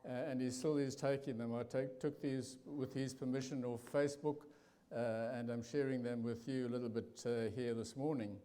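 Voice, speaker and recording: An older man with a South African accent giving a testimony talk in church, pausing often with fillers and restarts. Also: medium pitch, fairly even intonation, dark tone. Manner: halting, many fillers and restarts. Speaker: guest preacher.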